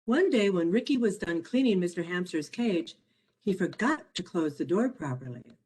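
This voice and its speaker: A woman reading her own children's picture book aloud as a storyteller, in an expressive, measured way. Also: lowish voice, breathy edge. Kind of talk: scripted storybook narration for children.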